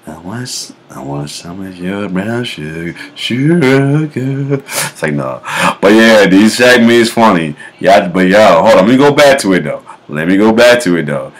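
Man with a deep bass voice, home webcam reaction, lively pitch, unhurried delivery, lots of laughter and cursing. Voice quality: low bass voice. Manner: laughs a lot, breaks into off-key singing, swears. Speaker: YouTuber reacting to memes. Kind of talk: casual reaction video. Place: home kitchen, indoors. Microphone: webcam at close range.

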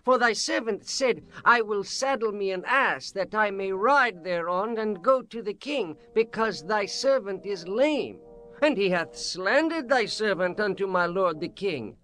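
A man's voice: sits high, lively intonation, bright, forceful tone, full character voice. A man playing a humble, wronged prince pleading deferentially in a scripture audio drama. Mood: humble, aggrieved. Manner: deferential, slow.

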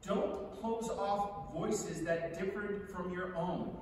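High-voiced man speechmaking in an echoey theatre. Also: takes it slowly, darkish tone, slight background noise. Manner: wry, conversational oratory. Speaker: speaker at a podium. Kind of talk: staged reading of a persuasive speech. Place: large theatre stage, indoors. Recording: echoey theatre hall from far off.

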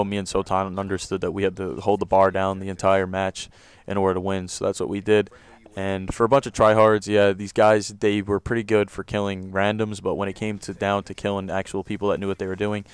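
Young guy, really deep voice, smooth and kinda monotone, rambles over his gameplay on a home mic. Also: dry recording. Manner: rambling, self-correcting, loose slang. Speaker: gaming commentator. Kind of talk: casual gameplay commentary.

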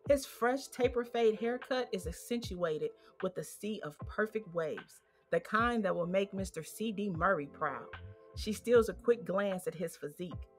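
A woman's voice: mid-range voice, lively intonation, unhurried. Female American narrator, mid-range voice, scripted romantic storytelling with music underneath.

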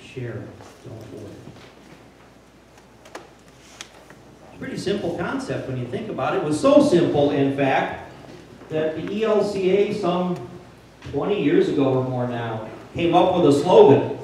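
A man's voice: a little high, big pitch swings, measured pace, long pauses, dark tone, far from the mic, a little hiss or hum. Older man preaching in an echoey church. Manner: plainspoken and conversational, building to urgent emphasis. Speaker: pastor. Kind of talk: sermon. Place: large church sanctuary. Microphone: handheld mic, filmed from a distant camera.

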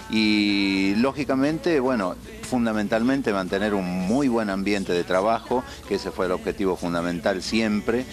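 A man in his fifties with an Argentine accent, a TV host interviewed in a studio, talking in a measured, earnest way with many "eh" pauses. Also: on the low side. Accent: Argentine Spanish. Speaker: TV host and producer as guest. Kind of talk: casual interview. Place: TV studio set, on a couch. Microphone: handheld mic held by interviewer.

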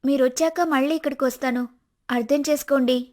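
A woman voicing a polite but self-willed young daughter-in-law in an animated family story, pleading and insistent. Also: high voice, a little fast, lightly acted. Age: young adult.

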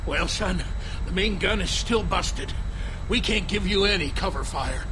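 A man playing a gruff, hot-tempered middle-aged airship captain in a video game, gravelly and bossy. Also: high-pitched, animated, measured pace, background noise. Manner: gruff, blustering, barking orders.

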